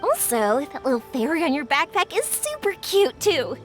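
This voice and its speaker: Bubbly, high-voiced young woman. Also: wildly swooping pitch, moderate pace. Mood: warm, excited. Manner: friendly, enthusiastic, chatty. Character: bubbly, warm-hearted young woman who runs a fireworks shop. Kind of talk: English video game voice acting. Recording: music playing behind the voice.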